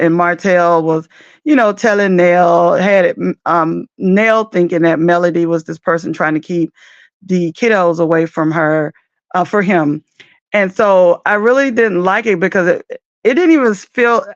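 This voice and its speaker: A woman with a raspy, deep morning voice, chatting loosely about reality TV as the host of a commentary show. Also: no echo, muffled recording. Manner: chatty, loose, with many fillers and asides.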